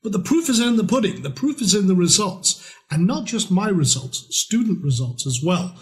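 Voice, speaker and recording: A middle-aged man with a Scottish accent, a trading course presenter giving a promotional pitch in a blunt, lively, casual way with mild swearing. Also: normal speed. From Scotland.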